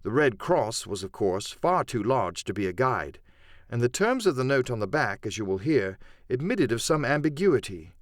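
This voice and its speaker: A man's voice: mid-range voice, big pitch swings, normal speed, dry recording, studio quality. A man narrating an adventure novel in a dramatic, scripted audiobook style.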